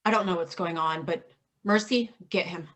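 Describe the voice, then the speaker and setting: A woman's voice: on the low side, moderate pace, a bit bright, lightly acted. A woman playing an indignant, commanding vigilante who accuses others, in a staged play reading.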